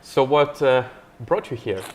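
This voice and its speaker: A man's voice: mid-range voice, a little slow, darkish tone. A man hosting a live podcast interview outdoors by a river, talking in a friendly, enthusiastic way with frequent fillers. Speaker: podcast host.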